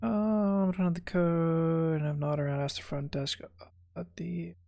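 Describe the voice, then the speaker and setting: A man's voice: fairly high pitch, steady tone, measured pace, creaky, dark tone, a little hiss or hum. A man giving casual, playful, rambling gameplay commentary as a streamer. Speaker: gaming streamer. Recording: music behind the voice. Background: music.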